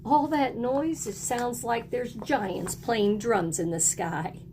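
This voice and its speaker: A woman's voice: a little high, slow pace, noisy background. A woman voicing reassuring, playful young animal friends in a children's storybook read-aloud. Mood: playful explaining.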